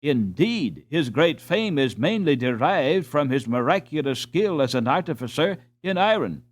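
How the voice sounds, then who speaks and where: Genteel storybook gentleman with animated intonation, read by a man. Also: a little high, a little slow. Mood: genteel enthusiasm. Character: courteous, cultured Dutch gentleman host, enthusiastic about art.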